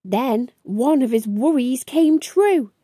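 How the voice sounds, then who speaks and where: A woman reads a children's storybook aloud in a high, mellow voice. She takes her time, telling it expressively and carefully, and the recording is dry and close. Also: expressive, slow, breathy edge, dry recording. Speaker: storytime reader. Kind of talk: children's picture book read-aloud.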